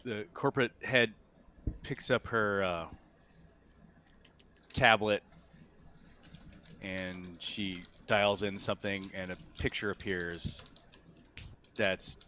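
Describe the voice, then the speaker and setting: A man with a fairly low voice runs a tabletop roleplaying game. He narrates off the cuff in casual, halting phrases, with some background noise on the recording. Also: fairly even intonation, bright, forceful tone. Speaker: game master.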